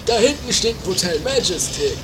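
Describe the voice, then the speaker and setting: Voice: mid-range voice, big pitch swings, normal speed, background noise, natural voice. A performer playing Otto, a grumbling, self-important husband in an improvised comedy radio play. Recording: music under amateur 1980s tape.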